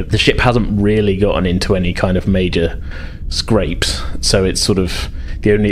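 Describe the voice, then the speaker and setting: A man's voice: low-pitched, slight background noise. A man acting as game master, narrating a tabletop game in an informal, conversational, hedging way.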